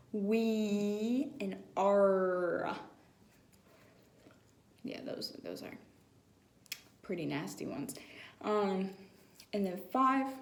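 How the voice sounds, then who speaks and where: A woman's voice: medium pitch, darkish tone. A woman giving casual singing advice to her viewers, chatty and rambling, with fillers and self-corrections. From Brazil. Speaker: singing tips vlogger. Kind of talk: casual advice talk to camera. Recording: echoey room.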